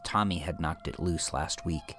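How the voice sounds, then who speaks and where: A man narrating a horror story in a dramatic, hushed and deliberate manner with an ominous tone. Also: extremely low pitch, music in the background.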